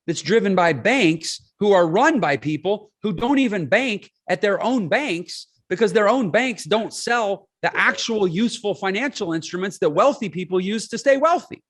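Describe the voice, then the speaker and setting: A man's voice: sits high, lively intonation, normal speed, hardly any pauses, fairly bright tone. A man in his forties, a podcast guest, explaining his points emphatically and often checking in with "right?". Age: 40s. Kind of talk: casual interview talk.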